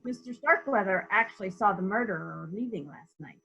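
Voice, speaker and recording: A woman playing a brisk, probing investigator who questions witnesses deliberately in a murder mystery reading. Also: medium pitch, lively intonation, vocal fry, lo-fi sound, crowd noise. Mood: composed, dry.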